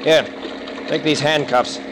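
An actor playing a tough detective boat captain who speaks in terse, gruff orders, in 1940s radio thriller style. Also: male voice, a little high, steady tone, measured pace, lightly acted. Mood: stern, questioning. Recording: noisy vintage radio recording.

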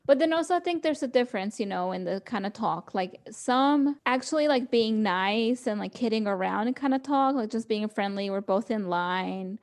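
A woman's voice: fairly high pitch, expressive, normal speed, few pauses. A young woman co-hosting a podcast, chatting casually and laughing often as she quotes people. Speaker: podcast co-host. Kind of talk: casual chat.

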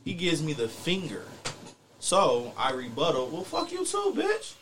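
A man's voice: a little high, wildly swooping pitch, unhurried, a bit bright, a little hiss or hum. East Coast man telling boastful stories. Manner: slangy, profane, boastful. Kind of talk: casual chat, animated storytelling.

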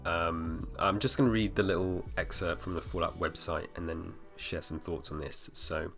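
Male solo podcast host, 30s, very low voice, a bit hoarse, loose rambling delivery, faint music underneath. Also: fairly even intonation, normal speed, a bit bright. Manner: rambling, full of fillers and restarts. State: slightly hoarse. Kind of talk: casual solo podcast talk.

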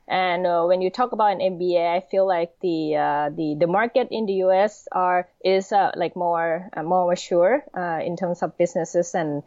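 A woman in her thirties from Thailand with a Thai accent, answering interview questions hesitantly with many pauses and fillers. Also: lowish voice, fairly even intonation, a little mellow, no echo. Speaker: podcast guest. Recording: dull lo-fi sound.

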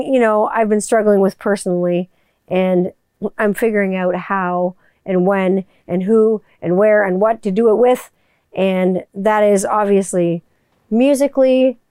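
A woman's voice: mid-range voice, dark tone, clear, resonant tone, no room sound. A woman who does voiceover and music work, talking to her viewers in a rambling, chatty way that includes casual swearing. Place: home room with people upstairs.

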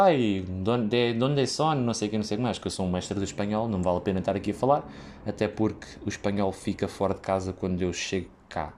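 A man's voice: on the low side, a little mellow. A young man with a European Portuguese accent tells a casual, rambling story about his work as a waiter, full of fillers, restarts and side tracks.

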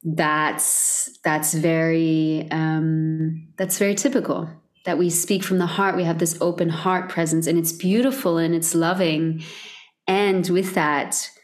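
A woman with a notably low, deep speaking voice talks in a soft, reflective way. She muses about inner experience, often pausing on "like" and "um", as a guest in a relaxed podcast conversation. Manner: soft, reflective, spiritual, lots of "like".

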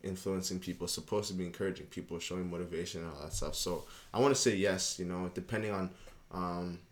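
A man's voice: low voice, fairly even intonation, fast talker. A young man from Canada, chatting loosely as a podcast guest and often checking in with "you know what I mean". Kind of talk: casual interview chat.

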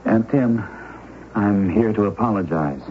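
An actor playing an earnest, guilt-ridden young husband in a 1970s radio drama. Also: male voice, lowish voice, unhurried, lightly acted. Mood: pleading. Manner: earnest, pleading. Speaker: supporting character. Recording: tinny radio broadcast with faint hiss.

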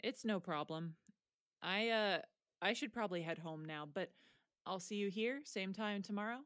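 A guarded teenage girl athlete, played by a woman in a naturalistic audio drama. Her pitch sits in the middle for a female voice. She speaks dryly and defensively, understated but stressed underneath. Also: moderate pace, fairly bright tone, no echo, clean studio sound, close to an everyday voice. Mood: dry, helpful.

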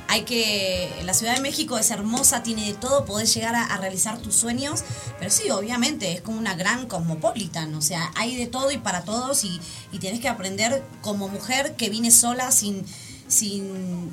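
A woman with an Argentine accent tinged with Mexican chats as a radio guest, talkative, lively and self-assured. Her pitch sits in the middle of the usual range. Clearly audible music plays behind her. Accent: Argentine with some Mexican. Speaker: singer guest. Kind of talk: casual radio interview.

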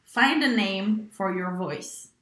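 A woman's voice: medium pitch, slow, fairly bright tone, reverberant. A woman co-hosting a casual podcast, rambling and filling her speech with "like", laughing often. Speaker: podcast co-host. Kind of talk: casual chat.

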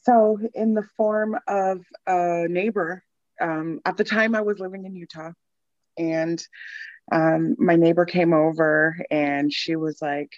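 A woman from the Boston area, chatting as a podcast guest, laughing often and filling pauses with ums. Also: on the low side, fairly even intonation, dry recording, dull audio. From Boston area, Massachusetts. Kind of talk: casual interview chat.

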